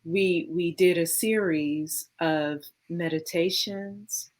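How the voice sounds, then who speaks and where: Unhurried, lower-pitched woman. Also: fairly even intonation. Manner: measured, pausing between phrases. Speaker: co-host, theology scholar. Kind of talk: reflective conversational self-introduction.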